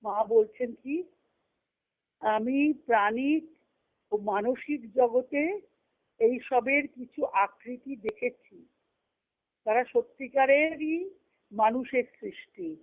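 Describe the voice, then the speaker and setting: A woman's voice: fairly high pitch, slow, pauses often. A woman giving a spiritual discourse as a teacher, repeating and rephrasing key phrases as she explains. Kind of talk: spiritual lecture, reading quotations and explaining them. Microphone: conference call. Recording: muffled lo-fi conference call audio.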